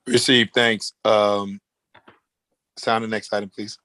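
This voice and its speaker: A man with a lowish, very even voice chairs an online board meeting. He moves through procedure politely and haltingly, and the sound is close and dry. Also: dry recording. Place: virtual meeting call.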